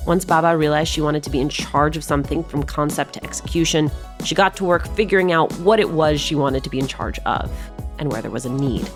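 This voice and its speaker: A woman's voice: deep voice, brisk, soft background music. A woman hosting a podcast, reading a scripted intro and then asking probing questions. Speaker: podcast host. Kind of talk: podcast interview.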